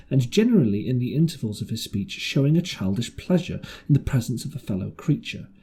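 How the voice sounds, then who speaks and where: Male narrator, soft dark tone, slightly rough and husky, medium pitch, storybook reading. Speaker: audiobook reader. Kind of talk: scripted narration of an adventure novel.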